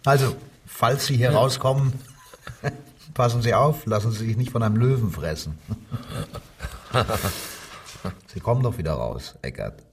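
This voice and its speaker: An actor playing a menacingly jovial SS police commissioner in a German radio crime drama, insinuating with drawn-out questions and mocking chuckles. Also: male voice, medium pitch, lively intonation, a little hiss or hum. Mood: mocking menace.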